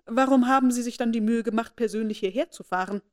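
A woman voicing a composed young woman who answers in a calm, cool and quietly defiant way. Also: fairly high pitch, quick pace, lightly acted.

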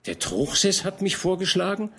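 A man voicing a proud, hot-tempered young nobleman who speaks earnestly and indignantly, with a lively voice. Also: high-pitched, moderate pace, breathy edge, pitched above the performer's own voice, heavily acted.

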